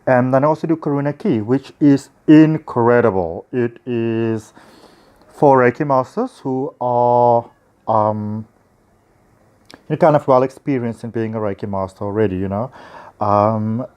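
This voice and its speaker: Male, South African accent, mid-pitched, effusive and chatty; informal phone vlog monologue addressed to viewers. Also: a little slow, darkish tone, clean tone, lo-fi sound. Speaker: spiritual healer and teacher. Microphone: handheld phone.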